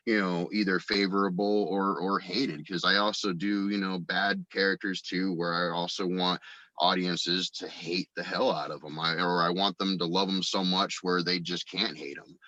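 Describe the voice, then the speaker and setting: A man's voice: deep voice, sharp tone, dry recording, muffled recording. A man chatting casually as an interview guest, rambling enthusiastically about his creative work. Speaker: guest, audio drama writer and voice actor.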